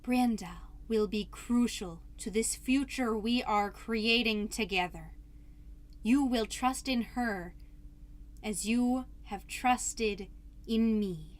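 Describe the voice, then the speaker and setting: A woman's voice: fairly high pitch, slow pace. A woman voicing a grandiose PTA leader who makes solemn, formal pronouncements in a comedy podcast drama. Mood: grave, commanding. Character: grandiose, commanding PTA queen-bee woman.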